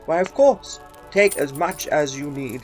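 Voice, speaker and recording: Man playing a theatrical, high-pitched older Victorian gentleman. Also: measured pace, soft background music, natural voice. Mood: jovial, generous. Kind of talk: theatrical radio play acting.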